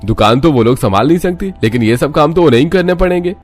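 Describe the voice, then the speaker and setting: A man's voice: on the high side, animated, quick pace, faint music behind. A man voicing grown brothers in a cartoon story, bossy and matter-of-fact. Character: adult brothers running the household, teasing and stubborn.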